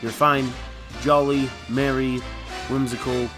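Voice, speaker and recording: A man's voice: medium pitch, little pitch movement, slow pace. Male co-host over faint music. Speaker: radio show co-host. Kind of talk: scripted comic host banter.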